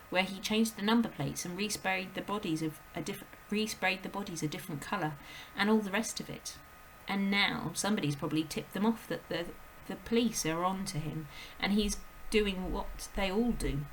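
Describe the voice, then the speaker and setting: A woman voicing a kind young female teacher in a storybook read aloud, speaking calmly and gently as she explains. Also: medium pitch, normal speed, a little hiss or hum, natural voice, rough recording. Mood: calm, gentle.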